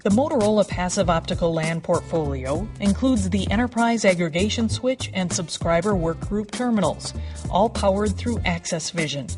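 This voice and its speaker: A woman's voice: mid-range voice, lively intonation, moderate pace, distant mic. A woman reading a scripted corporate promotional narration as a voice-over. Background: background music.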